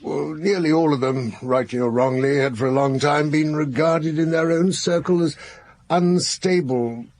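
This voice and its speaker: Urbane, husky psychiatry professor. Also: male voice, fairly high pitch, normal speed, a little mellow. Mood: analytical, assured. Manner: urbane, articulate, musing. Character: learned male psychiatry professor, urbane, assured, analytical. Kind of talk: naturalistic radio drama acting.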